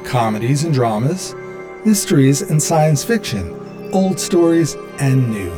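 Slow, deliberate male announcer. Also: medium pitch, very animated. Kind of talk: scripted show intro. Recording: voice over music.

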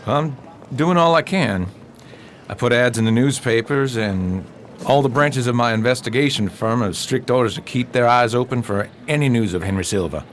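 A man's voice: mid-range voice, moderate pace, slight background noise, lightly acted. A man playing a courteous, observant private investigator in a radio drama, polite and inquisitive.

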